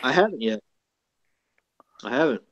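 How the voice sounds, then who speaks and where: A man's voice: medium pitch, animated, moderate pace, no echo, muffled recording. A man in a casual group chat who is eager and pushes his friends for answers.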